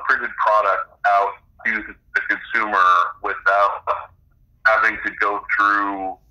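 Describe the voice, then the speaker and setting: A man's voice: medium pitch, bright, forceful tone, rough recording. A middle-aged man explaining his own creative work as a guest in a casual interview. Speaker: interview guest, game designer and author.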